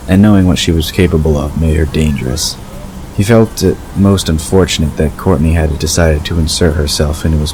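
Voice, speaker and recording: A man narrating a scripted story in a measured storytelling delivery. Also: very deep voice, a little mellow, rough recording. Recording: clear background ambience noise.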